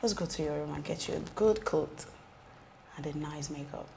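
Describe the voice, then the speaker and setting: A woman's voice: low voice, moderate pace, creaky, background noise. A young woman with a Nigerian accent, acting a gossipy clinic client who teases her friend in a half-whisper. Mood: teasing. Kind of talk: film drama acting. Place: indoor room.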